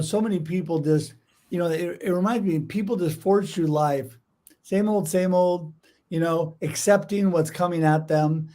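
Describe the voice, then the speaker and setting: A man's voice: on the high side, a little mellow. A man hosting a casual video-call podcast, enthusiastic and effusive, rambling a little with fillers. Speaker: podcast host. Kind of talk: casual interview chat.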